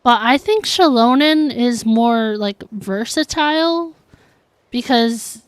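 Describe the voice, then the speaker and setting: A woman's voice: fairly high pitch, expressive, slow, clean tone, no echo. A woman livestreaming a video game, chatting casually and thinking aloud as she answers viewers. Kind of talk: casual chat while playing a video game.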